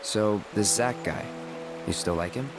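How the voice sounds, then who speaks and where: A man's voice: lowish voice, measured pace, music in the background. A man voicing an aloof, blunt young mercenary in a video game, speaking in a curt, guarded way. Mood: low-key, curious. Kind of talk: English-language video game voice acting.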